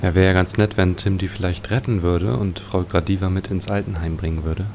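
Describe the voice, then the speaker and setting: A man's voice: sits low, steady tone, fairly quick. A man commenting casually on a video game, musing aloud as he describes what he sees. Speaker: let's play host. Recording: clearly noisy home recording.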